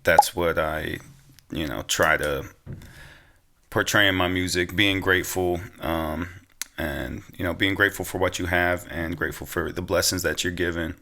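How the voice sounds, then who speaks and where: A man's voice: sits low, steady tone, normal speed, vocal fry, sharp tone. A young American man chatting casually as a podcast guest, easygoing and slangy. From Pennsylvania, United States. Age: young adult. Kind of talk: casual interview chat.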